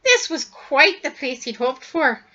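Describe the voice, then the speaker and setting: Female storybook reader, bright and very lively, dramatic emphasis, slightly dull recording. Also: high-pitched, measured pace. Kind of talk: expressive read-aloud of a children's story.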